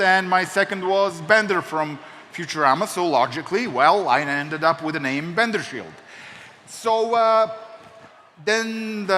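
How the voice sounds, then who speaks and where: A man's voice: a little high, bright, forceful tone. A young man from Serbia giving a joking, self-mocking talk as a convention panelist in a lecture hall. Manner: wry, self-mocking humor. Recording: reverberant hall with faint noise.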